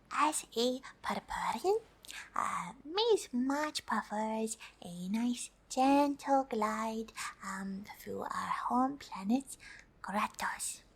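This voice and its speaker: A woman voicing a gentle, polite female alien for an ASMR roleplay, in a soft breathy whisper with slow, hesitant, stilted English. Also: on the high side, full character voice. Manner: slow, deliberate, hesitant, refers to herself in third person.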